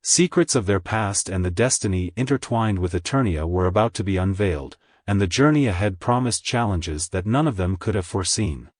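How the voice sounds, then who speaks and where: Low-voiced man narrating a story. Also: animated, a little fast, hardly any pauses, dry recording, clean studio sound.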